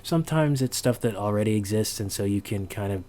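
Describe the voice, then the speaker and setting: A man giving an informal sound design tutorial, halting as he thinks aloud. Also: on the low side, expressive, warm tone, a little hiss or hum.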